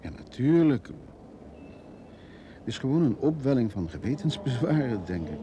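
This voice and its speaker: An actor playing a hesitant, guilt-ridden husband in an old Dutch radio play. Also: male voice, medium pitch, sing-song, normal speed, warm tone, a little hiss or hum, lightly acted. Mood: resigned. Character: conflicted, guilt-ridden husband leaving his wife. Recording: old radio recording, crowd behind.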